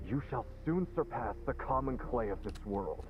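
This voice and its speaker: An actor playing a grandiose, theatrical mastermind in a video game, declaiming pompously. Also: male voice, medium pitch, lively intonation, moderate pace, muffled recording, slight background noise, close to an everyday voice. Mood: exultant, pompous. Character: grandiose, theatrical male mastermind proclaiming transcendence. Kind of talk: English video game voice acting.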